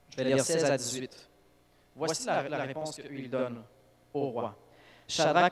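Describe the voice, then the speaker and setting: A man, a native English speaker, preaching in a church with lively bursts of emphasis and frequent restarts. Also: mid-range voice. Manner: animated preaching with restarts and self-corrections. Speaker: youth speaker. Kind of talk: sermon.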